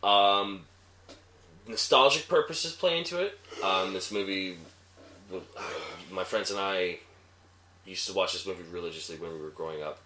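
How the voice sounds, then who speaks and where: A man with a fairly low voice chats as a review panelist. He is hesitant and halting, backing up to correct himself, in a room with clear echo. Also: sharp tone, slight background noise. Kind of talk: casual review chat. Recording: echoey room.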